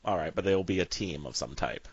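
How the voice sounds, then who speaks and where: A man's voice: deep voice, expressive, fast, husky, no echo, dull audio. A man hosting an interview, asking questions in a casual, conversational way. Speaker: podcast interviewer.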